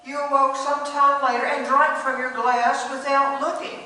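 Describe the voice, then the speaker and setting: A woman as a high-voiced, sly hungry stray cat. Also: flat delivery, normal speed, bright, forceful tone. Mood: accusing. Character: hungry, neglected talking stray cat, coolly accusing and sly. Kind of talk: radio play acting. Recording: echoey hall from far off, faint hum.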